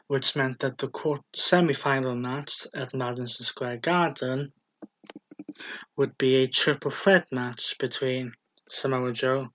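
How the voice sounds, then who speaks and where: A man co-hosting a casual wrestling talk show, talking slowly with fillers and laughs. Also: mid-range voice, sharp tone. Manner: slow, hesitant, with fillers and laughs. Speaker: podcast co-host. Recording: dull lo-fi home recording.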